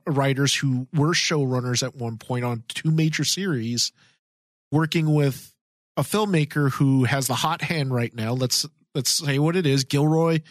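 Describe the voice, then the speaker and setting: A man's voice: fairly high pitch, no room sound. A man co-hosting a casual fan podcast, speaking emphatically and repeating phrases for stress. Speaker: podcast co-host.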